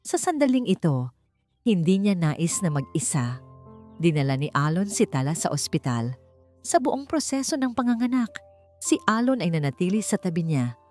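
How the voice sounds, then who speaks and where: A woman narrates a romantic drama in a fairly low voice. Her delivery is measured and scripted, with soft music running behind her. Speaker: story narrator. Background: background music.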